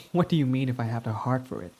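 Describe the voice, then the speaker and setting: A man's voice: mid-range voice, expressive, quick pace, darkish tone. A young man voicing a brooding, foul-mouthed villain who is guarded but devoted, speaking in an intimate, confessional way close to the mic. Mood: defiant. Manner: intimate and confessional, swearing freely. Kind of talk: ASMR roleplay audio drama.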